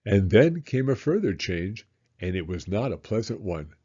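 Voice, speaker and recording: A man reading a children's story aloud in a deliberate, expressive storytelling style. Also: on the low side, slightly rough, lo-fi sound. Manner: deliberate, acts out character voices. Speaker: children's audiobook reader.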